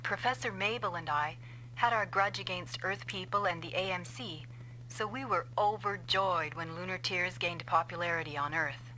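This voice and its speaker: An actress playing a vengeful female scientist in an anime-style game dub, explaining coldly and deliberately. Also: lowish voice, noisy background, close to an everyday voice. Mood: grim, confessing. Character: vengeful female scientist confessing a revenge plot.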